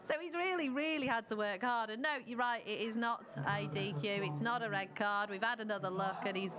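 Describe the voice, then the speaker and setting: A woman's voice: on the high side, fairly quick. A woman co-presenting a live sports show, giving analysis and results. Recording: crowd noise behind. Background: crowd.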